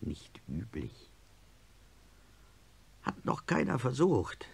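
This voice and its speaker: An actor playing an ailing, stubborn male industrialist in a radio play, gravelly and husky, speaking with deliberate, sardonic insistence. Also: mid-range voice, huge pitch swings, exaggerated voice. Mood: insistent. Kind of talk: German radio play acting.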